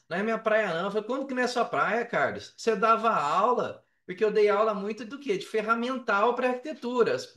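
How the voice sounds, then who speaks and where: A Brazilian man telling his own story casually as a podcast guest, colloquial and animated, often acting out quoted dialogue. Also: sits high. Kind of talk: casual personal storytelling in an interview. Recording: roomy echo, distant from microphone.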